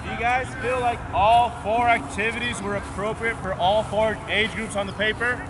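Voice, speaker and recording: A man teaching a fitness class outdoors, giving loud, repeated directions and quizzing his students. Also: high voice, fairly even intonation, normal speed. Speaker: physical education teacher. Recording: loud background music and crowd noise. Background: loud music and crowd of students.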